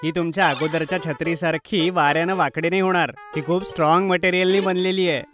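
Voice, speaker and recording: A man's voice: a little high, steady tone, quick pace, fairly bright tone, soft background music, lightly acted. Man voicing an obliging cartoon shopkeeper uncle. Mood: reassuring. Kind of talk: children's cartoon dubbing.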